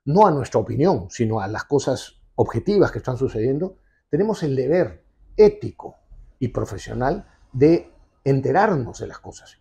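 A man in his sixties delivers forceful opinion commentary. His voice is dark, gravelly and husky. He talks with emphatic insistence, recorded close on a lapel mic in an office. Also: medium pitch. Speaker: TV show host and commentator. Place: indoor office with leather sofa.

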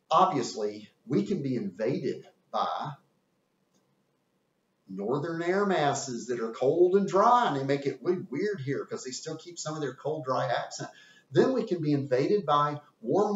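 A man with a light Southern US accent explains things in a folksy, animated teaching manner, his voice swinging widely in pitch. He is captured from a distance by a camera in an echoey room, and the sound is somewhat dull. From American South. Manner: animated, folksy, gesturing with hands. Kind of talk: classroom lecture. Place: indoor room with whiteboard.

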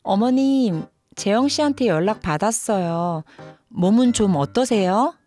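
A woman's voice: medium pitch, lively intonation, measured pace, mellow, lightly acted. Woman acting an outspoken, practical daughter-in-law. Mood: polite. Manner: pointed, matter-of-fact. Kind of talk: dramatized story video acting. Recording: music behind the voice.

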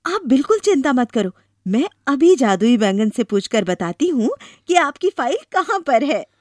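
Cartoon young wife, voiced by a woman: caring, concerned, somewhat high voice, dry close sound. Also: sing-song, normal speed, no room sound. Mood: reassuring. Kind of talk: animated moral story voice acting.

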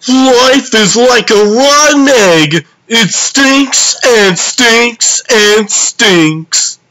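A manic cartoon prankster villain, high and bright. The delivery is theatrical and sing-song, swooping widely with gleeful zany temper. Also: male voice, lively intonation, very slow, heavily acted, poor audio. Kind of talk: comic dub acting.

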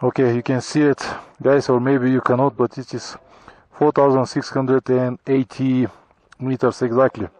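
A middle-aged Bulgarian man talking to his camera on a motorcycle trip, in halting, grateful English full of restarts, recorded outdoors on a helmet or handheld action camera. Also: medium pitch, little pitch movement, darkish tone, no room sound, low-quality recording. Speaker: motorcycle travel vlogger. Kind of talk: casual travel vlog talk to camera. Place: high mountain pass outdoors. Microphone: action camera on helmet or in hand.

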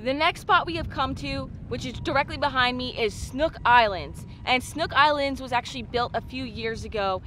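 A woman's voice: sits high, normal speed, hardly any pauses, background noise. Chatty young woman explaining outdoors. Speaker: fishing vlogger. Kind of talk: casual fishing vlog. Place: outdoors on a small boat on open water.

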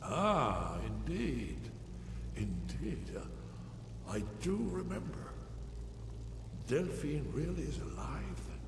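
A paranoid old hermit scholar for a fantasy game. He is highish and very animated, stammering and suspicious, weary with doom. The sound is echoey, with noise behind it. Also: takes it slowly, gravelly, pauses often, heavily acted. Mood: wary, settling. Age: older. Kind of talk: video game voice acting.

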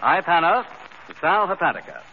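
A man's voice: a little high, sing-song, moderate pace. An American man announcing for the sponsor in 1930s radio style. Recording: dull, faintly noisy 1930s radio recording.

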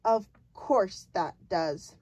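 Lady reading aloud and putting on a big booming giant guy, loud and jolly, slow and drawn out, twisting his words into silly made-up ones. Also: expressive, no room sound. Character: huge booming male giant, jolly and ungrammatical.

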